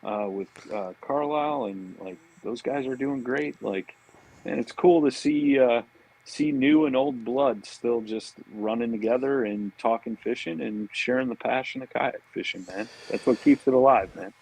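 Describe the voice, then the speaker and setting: A man with a rough-edged, husky voice at an average pitch. He talks as a podcast guest in a casual, easygoing way, like chatting with an old buddy. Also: moderate pace, a little mellow, poor audio. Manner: easygoing, affable buddy talk. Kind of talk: casual chat.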